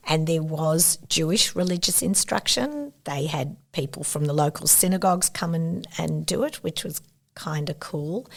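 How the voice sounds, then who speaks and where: A woman's voice: sits low, creaky. A woman, thoughtfully recounting her family's story as an interview guest. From Australia. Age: 70s. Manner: thoughtful, unhurried recollection with warm asides. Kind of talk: reflective personal storytelling.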